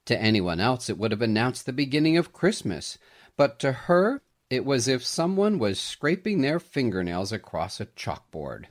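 A man reading a scripted Christmas story aloud in an expressive storytelling manner. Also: mid-range voice, normal speed. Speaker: storyteller, podcast host.

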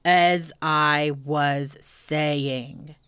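A woman's voice: low voice, measured pace, fairly bright tone, clean tone, no echo. A woman voicing a gloomy, self-important male donkey in a children's storybook, grumbling through ponderous speeches. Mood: stern, pompous.

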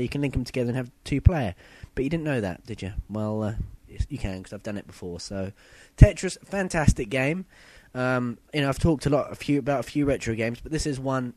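A young man hosting a casual podcast, a bit husky from a sore throat, chatty and rambling. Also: medium pitch, a little mellow, dry recording. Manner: chatty, loose and rambling, with fillers and self-corrections. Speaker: podcast host. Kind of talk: casual solo podcast chat.

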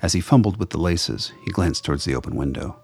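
Very deep, gravelly male narrator. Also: lively intonation, fast talker, darkish tone. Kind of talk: scripted radio play narration, noir-like.

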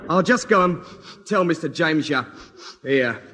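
A shifty office doorman in an old radio comedy. He speaks haltingly, drawing his phrases out. The recording has a long reverb. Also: on the high side, animated, fairly bright tone. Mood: formal, halting. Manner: halting, drawn-out delivery. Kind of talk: 1950s radio comedy acting.

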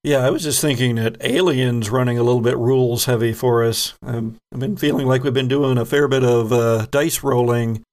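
A man's voice: mid-range voice, steady tone, normal speed, few pauses, darkish tone. A man hosting an online tabletop game podcast, easygoing as he steers the crew's plugs before play. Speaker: game master and host.

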